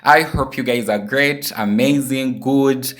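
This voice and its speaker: A man's voice: mid-range voice, a little slow. A man in his twenties from Uganda, talking casually and directly to viewers in a travel vlog. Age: 20s. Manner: chatty, repeats place names, addresses viewers directly. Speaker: vlogger.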